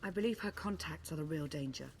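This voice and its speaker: An actress playing a calm, wary female agent who speaks in a measured way. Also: low voice, slight background noise.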